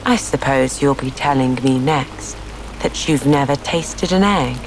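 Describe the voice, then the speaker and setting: A woman acts out a fussy, suspicious mother pigeon from a bedtime storybook. The bird is indignant and scornful, accusing at a slow pace, over a faint wash of rain. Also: rumbling low, background noise. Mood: scornful contempt.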